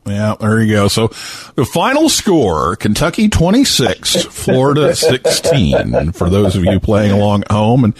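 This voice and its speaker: Man hosting with lively, animated delivery. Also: lowish voice, measured pace. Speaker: podcast host. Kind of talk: casual chat.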